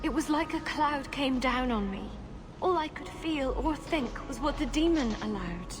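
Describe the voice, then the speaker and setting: High-voiced, remorseful noblewoman. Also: lively intonation, normal speed, over music. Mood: defensive, shaken. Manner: formal, earnest. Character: remorseful noblewoman freed from a demon's hold, proud. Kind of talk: video game voice acting.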